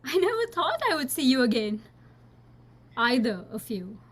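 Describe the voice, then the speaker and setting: A woman playing a guarded, highborn young lady with a genteel, fretful way of talking in a radio drama. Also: big pitch swings, moderate pace, a bit bright, heavily acted. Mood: startled, uneasy.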